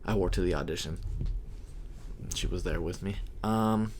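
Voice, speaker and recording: A young man, a podcast co-host, talking in a slow, halting and reflective way. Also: sits low, a little hiss or hum. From Hawaii. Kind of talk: casual podcast chat.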